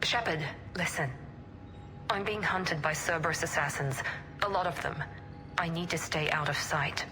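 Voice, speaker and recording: A woman's voice: low-pitched, normal speed, sharp tone. An actress playing a guarded, determined female operative in a video game, terse and serious. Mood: tense. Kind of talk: video game cutscene acting. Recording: long hall reverb under music.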